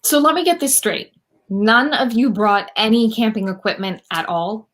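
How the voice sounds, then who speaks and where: A woman's voice: fairly high pitch, normal speed, a bit bright, lightly acted. A young woman playing an earnest, easily exasperated environmental superhero in a comedy radio play over a video call. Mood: indignant. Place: room at home, video call.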